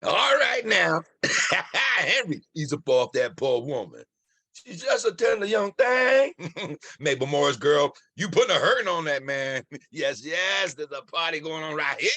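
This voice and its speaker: Man acting a cocky young charmer, slow and bright. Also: on the high side, lively intonation, dry recording, heavily acted. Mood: boisterous. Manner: exuberant, drawling, playful. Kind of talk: live dramatic script read.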